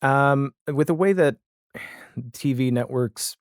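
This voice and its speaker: A man's voice: medium pitch, slow, no echo, studio quality. An American man in his forties chatting casually and anecdotally as a podcast guest. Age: 40s. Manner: chatty, acts out imagined dialogue. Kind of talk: casual anecdotal interview chat.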